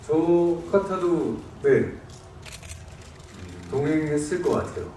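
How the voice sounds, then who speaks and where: A man from Busan, a film actor, answering audience questions in a relaxed, laughing way with hesitant pauses. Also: a little high. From Busan, South Korea. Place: large cinema auditorium. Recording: echoey cinema hall, distant, noisy.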